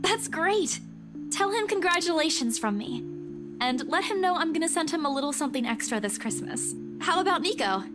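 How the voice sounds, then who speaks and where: A woman playing an eager, dutiful young daughter in visual novel dialogue, with an animated, reassuring manner. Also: sits high, moderate pace. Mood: cheerful, caring. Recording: loud guitar music behind. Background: guitar music.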